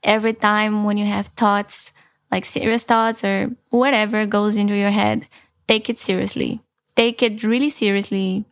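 A woman's voice: mid-range voice, flat delivery, normal speed, no room sound. Earnest young woman, dry close voice. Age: 20s. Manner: earnest, frequent "like" fillers, repeats phrases for emphasis. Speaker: self-help vlogger. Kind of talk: casual motivational talk to camera.

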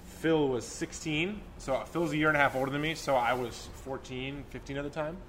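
A man's voice: fairly high pitch, steady tone, a little fast, a bit bright, a little hiss or hum. A man of twenty-three recounting his early businesses in casual chat, candid and a little complaining. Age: 20s. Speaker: business co-founder guest.

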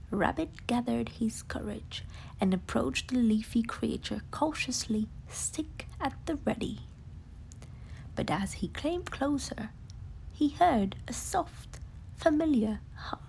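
A woman telling a bedtime story in a hushed, soothing whisper. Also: on the high side, expressive, takes it slowly, vocal fry, a little hiss or hum, rough recording.

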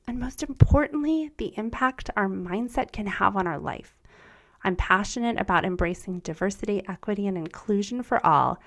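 A woman's voice: mid-range voice, fairly bright tone. A woman in her forties, a native German speaker from the United States, hosting a solo podcast in an upbeat, encouraging, earnest way. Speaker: podcast host. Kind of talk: scripted solo podcast monologue.

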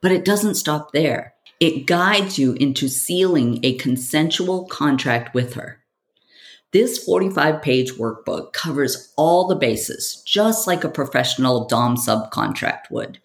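Creaky-voiced podcast host with swooping intonation. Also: medium pitch, lively intonation, measured pace. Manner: theatrical, rhetorical, addresses listener directly. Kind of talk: scripted podcast monologue with sales pitch.

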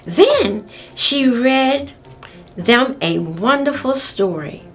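Slow, high-voiced woman reading to children. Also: expressive, soft background music. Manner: exclamatory, drawn-out, repeats words for emphasis. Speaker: children's story-time host and reader. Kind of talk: read-aloud storytelling for young children.

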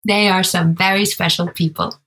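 A woman co-hosting a podcast, speaking in a measured, explanatory way. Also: on the low side, steady tone, a bit bright, breathy edge. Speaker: podcast co-host. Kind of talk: scripted podcast narration.

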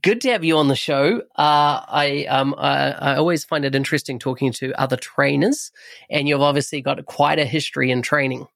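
A man hosting a podcast, reading a measured scripted intro and then chatting with a guest. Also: medium pitch, fairly even intonation, gravelly, fairly bright tone. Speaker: podcast host.

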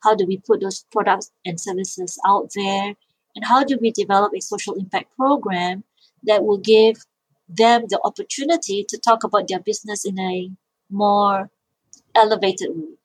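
A woman's voice: mid-range voice, fairly even intonation, moderate pace, husky, no echo, poor audio. A middle-aged woman from Malaysia, answering interview questions thoughtfully, often repeating words as she restarts. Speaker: guest, social enterprise founder and IP lawyer.

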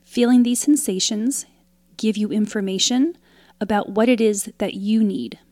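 A woman leading a guided meditation in a calm, soothing, instructional way, leaving pauses between short phrases. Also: medium pitch, no room sound. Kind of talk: guided meditation, scripted narration.

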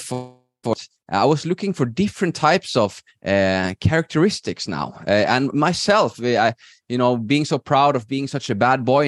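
A man's voice: mid-range voice, no echo, studio quality. A middle-aged man with a Norwegian accent, hosting a podcast and telling his faith story in a hesitant, reflective way, full of fillers and restarts. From Norway. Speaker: podcast host.

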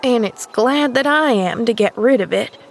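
A woman's voice: fairly high pitch, animated, normal speed, vocal fry. A woman voicing a terse, plain-spoken household servant in a storybook, with a sour, folksy, grumbling manner. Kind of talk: storybook character voice.

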